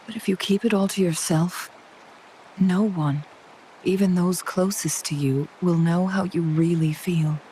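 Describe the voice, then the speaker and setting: An actress playing a composed, perceptive noblewoman advisor in a video game, measured and poised, gently probing. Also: fairly low pitch, steady tone, a little hiss or hum, natural voice, poor audio. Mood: gentle, earnest.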